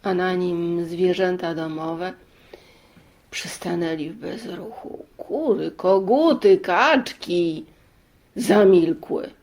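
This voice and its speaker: A woman narrating a children's fairy tale as storyteller, deliberate, pausing between short phrases. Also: fairly low pitch, a little mellow.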